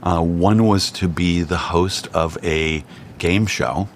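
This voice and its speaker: Man from Cincinnati with a very low voice, dry wit, faint noise behind. Casual podcast guest. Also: fairly even intonation, takes it slowly. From Cincinnati, Ohio. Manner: dry, self-deprecating humor. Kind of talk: casual chat.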